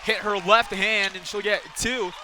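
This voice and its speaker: A man's voice: high-pitched, fairly even intonation, measured pace, pushed and bright. A man doing live radio play-by-play of basketball, describing the action in clipped phrases that rise with the play. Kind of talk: live sports commentary. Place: indoor basketball arena, courtside. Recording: noisy arena with court sounds behind.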